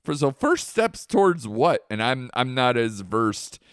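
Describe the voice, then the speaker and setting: A man's voice: medium pitch, sing-song, a little slow, fairly bright tone, clear, resonant tone, dry recording, clean studio sound. A man co-hosting a podcast, talking loosely in casual chat, swearing now and then and trailing off. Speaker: podcast co-host.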